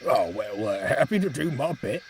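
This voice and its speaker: A man's voice: medium pitch, very animated, normal speed, slight background noise. Man doing a bumbling, timid fireman. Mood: bashful. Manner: bumbling and hesitant, full of uhs. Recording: music playing behind.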